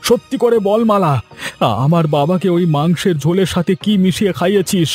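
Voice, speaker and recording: A man playing a high-voiced angry village son. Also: fairly quick, a little mellow, husky, soft background music.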